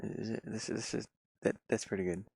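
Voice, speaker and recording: A man's voice: fairly low pitch, fairly even intonation, fairly quick, creaky, darkish tone, husky, dry recording. A man talking himself through his work in a casual think-aloud commentary, muttering playfully. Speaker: game developer streaming his pixel art work.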